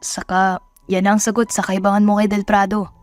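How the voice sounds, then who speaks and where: A woman's voice: medium pitch, little pitch movement, moderate pace, soft background music, natural voice. A woman voicing a blunt, sensible friend in an audio drama, matter-of-fact and admonishing. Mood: cautioning.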